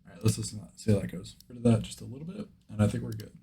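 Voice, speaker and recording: A man's voice: lowish voice, expressive, racing, creaky, mellow, slight background noise. A man hosting a vlog in a casual, conversational style. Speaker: video host, beginner voice actor.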